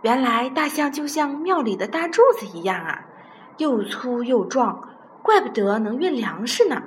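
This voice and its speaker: A woman's voice: high voice, big pitch swings, a little slow, pitched above the performer's own voice. An impetuous blind man from a children's story, acted out by a woman. He exclaims eagerly and sounds sure of what he knows. The recording has some background noise. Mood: eager, excited. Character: hasty, excitable blind man, sure of himself.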